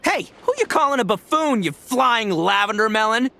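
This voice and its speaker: An actor playing a boisterous, boastful gang boss in an anime game, with brash, slangy, swaggering delivery. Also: male voice, sits high, lively intonation, moderate pace, vocal fry, sharp tone, a little hiss or hum, exaggerated voice. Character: boisterous, boastful male oni gang boss, big and goofy. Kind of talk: anime game character acting. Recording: game audio with music behind.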